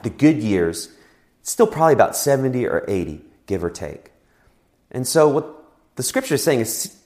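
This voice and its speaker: A man in his forties gives a sermon as a pastor, and his pitch rises and falls a lot as he speaks. He talks in a conversational way and keeps asking his listeners questions. His voice sits in a middle register. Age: 40s. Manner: conversational preaching with rhetorical questions.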